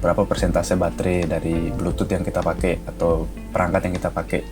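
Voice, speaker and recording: A man's voice: deep voice, little pitch movement, fairly quick, over music. A man with an Indonesian accent giving a casual, chatty gadget review to viewers, explaining things informally. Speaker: product reviewer.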